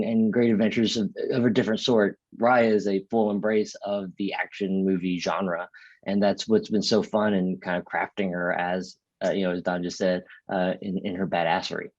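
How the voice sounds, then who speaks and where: Low voiced guy, filmmaker interview, casual, muffled lofi dry sound. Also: steady tone, moderate pace, darkish tone, dry recording. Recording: dull, lo-fi dry audio.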